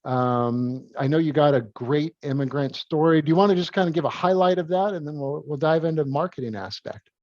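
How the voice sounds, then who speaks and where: A man's voice: fairly high pitch, brisk, darkish tone, no echo, dull audio. A man hosting a podcast, introducing and questioning his guest in a laid-back, friendly way. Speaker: podcast host. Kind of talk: casual interview.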